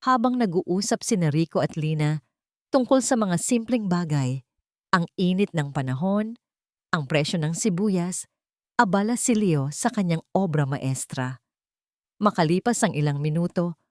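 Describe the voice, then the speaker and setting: A woman's voice: on the low side, lively intonation, normal speed, darkish tone, dry recording, clean studio sound. A woman storyteller reading a scripted romantic drama as narration.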